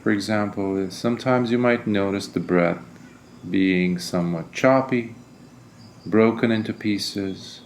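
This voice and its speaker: A man leading a guided meditation as a teacher, speaking in a gentle, deliberate, soothing manner. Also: lowish voice, slight background noise.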